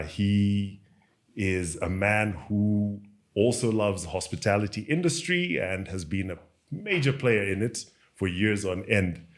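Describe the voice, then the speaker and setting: Male podcast host with a lowish, polished voice. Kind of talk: podcast introduction and interview.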